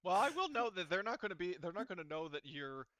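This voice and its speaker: A man's voice: high voice, sing-song, fast, fairly bright tone, no echo, clean studio sound. A man casually narrating and explaining a tabletop game to his players as game master. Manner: informal, explanatory.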